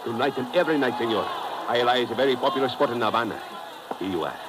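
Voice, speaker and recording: Noisy old radio drama, man playing furtive informant, rapid delivery, lowish voice. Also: lively intonation, natural voice. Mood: courteous. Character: furtive Cuban ticket seller and secret informant. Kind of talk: 1950s radio drama acting. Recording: noisy old radio recording, arena ambience.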